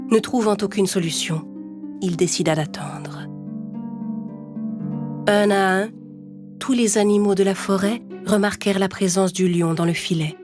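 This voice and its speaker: A woman narrator reading a scripted animal fable for children in storytelling style. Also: lowish voice. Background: music.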